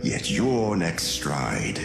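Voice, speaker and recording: Menacing ancient dark overlord, cunning, gravelly, swooping intonation, echoing, music behind, audio drama. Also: male voice, sits low, big pitch swings, full character voice. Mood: ominous, testing. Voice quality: gravelly, creaky. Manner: slow, deliberate, ominous, imperious. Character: menacing ancient reptilian dark-side master, cunning and contemptuous. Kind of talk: audio drama villain acting.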